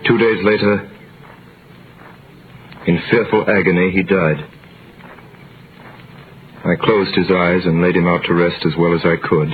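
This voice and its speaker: An actor in an old radio drama playing a devoted, wrongly imprisoned man, narrating and speaking in a measured, dramatic style. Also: deep voice, fairly even intonation, slight background noise. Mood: somber, reflective. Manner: measured, dramatic, literary delivery. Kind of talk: 1930s-40s radio drama narration and acting. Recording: muffled vintage radio recording with music.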